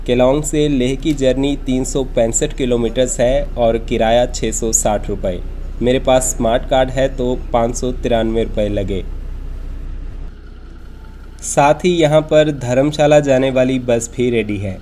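Mellow male travel vlog narrator. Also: medium pitch, unhurried, slight background noise. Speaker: travel vlogger and host.

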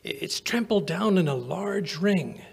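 An older man playing an earnest, kindly, easily flustered English doctor with a rough, breathy voice in a radio play. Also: high voice, lively intonation, a little slow, full character voice. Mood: observant. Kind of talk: old-time radio drama acting. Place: small indoor stage, live performance. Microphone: vintage-style stand microphone.

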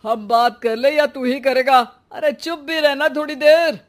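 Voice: high voice, steady tone, measured pace. A performer voicing a principled, exasperated elderly father in a cartoon, speaking in a chiding, moralizing manner. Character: principled, exasperated elderly father, grey-haired family patriarch. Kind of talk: animated cartoon dialogue.

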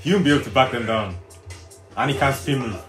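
A man's voice: mid-range voice, quick pace, over music. A young man with a London accent giving casual, slangy, exclamatory reaction commentary. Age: young adult. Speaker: reaction video host. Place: indoor room on a sofa. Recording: roomy echo, faint show audio behind.

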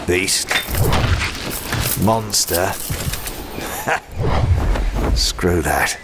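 A man's voice: low-pitched, drawn-out words, heavy background noise. A man playing a brooding, battle-worn swordsman, terse and world-weary, in a dramatic comic dub. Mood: defiant.

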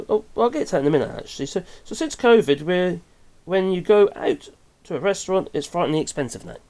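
A man's voice: high-pitched, a little mellow. A man in his fifties with an English accent, giving casual, opinionated commentary in a rambling, chatty way, often correcting himself. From England. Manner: rambling, chatty, with tag questions and self-corrections. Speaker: vlogger commenting on news. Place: small wooden cabin room with open window.

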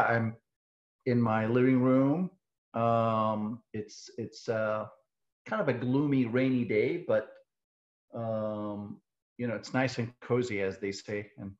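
A man in his forties from Syria, a guest on a webinar, talking casually from his living room with joking asides and frequent restarts. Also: mid-range voice. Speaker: guest, CEO of a civic organization. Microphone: earbud headset on a video call. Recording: dull, lo-fi video call audio.

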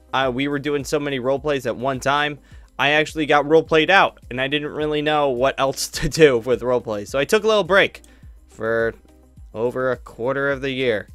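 Chatty young man with a smooth, dry voice. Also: medium pitch, a bit bright, no echo. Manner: rambling, upbeat, many fillers and restarts. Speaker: YouTuber. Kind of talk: casual channel update while flying over a game world.

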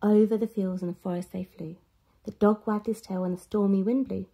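A woman's voice: mid-range voice, steady tone, brisk, breathy edge, muffled recording. A woman in her thirties reading a children's story aloud with expression. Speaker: storybook reader.

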